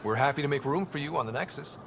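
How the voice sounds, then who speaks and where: A man's voice: fairly high pitch, expressive, quick pace, vocal fry, a bit bright, a little hiss or hum. A man playing an earnest, easygoing young human expedition leader in a video game, with a conversational delivery. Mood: warm, assured. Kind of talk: naturalistic video game acting.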